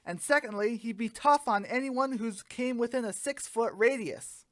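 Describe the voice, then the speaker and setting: A woman reads as co-host of a spoof news update. Her delivery is brisk and built around setups and punchlines, in a scripted sketch-comedy newscast style. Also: a little high, dry recording, studio quality.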